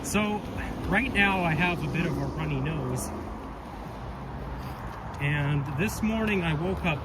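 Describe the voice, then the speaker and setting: A man's voice: on the high side, lively intonation, takes it slowly. A man with a slightly stuffy, nasal voice from a cold, chatting in a rambling way to his phone as he walks a city street. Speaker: vlogger. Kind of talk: casual walking vlog. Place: city street outdoors with traffic. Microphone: phone held while walking. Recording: loud traffic noise outdoors.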